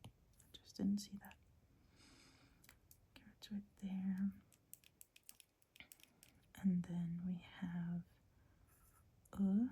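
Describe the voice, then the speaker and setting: Breathy woman, slow ASMR-style whispered lesson, dark and mellow tone. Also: medium pitch, little pitch movement. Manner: whispering, hesitant, searching for words. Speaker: teacher.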